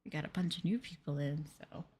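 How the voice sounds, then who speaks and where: A woman streamer talking softly and gently in an ASMR live chat, often dropping into a whisper, close to the microphone. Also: low voice, brisk, a little hiss or hum. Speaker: VTuber streamer. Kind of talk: soft-spoken ASMR livestream chat.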